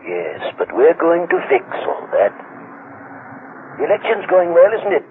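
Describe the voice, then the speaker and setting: A man's voice: fairly high pitch, wildly swooping pitch, unhurried, phone-quality sound, noisy background. A man acting in a radio drama as a condescending, controlling male handler, avuncular yet coldly menacing, with theatrical emphasis. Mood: smugly patronizing. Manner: patronizing, theatrical, swooping emphasis. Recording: thin, band-limited old radio sound.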